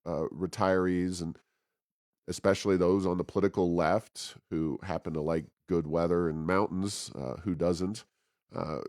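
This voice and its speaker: A man with a low voice talks politics as a co-host, in a conversational but opinionated way. His delivery is sardonic and leans on mocking emphasis. The sound is close and dry. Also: a little mellow, no echo. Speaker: talk show co-host and commentator.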